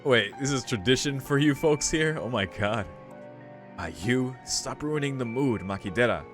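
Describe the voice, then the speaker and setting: A man's voice: mid-range voice, animated, normal speed. A man hosting a visual novel playthrough, casually commenting and reading dialogue aloud. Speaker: visual novel let's play host. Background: game music.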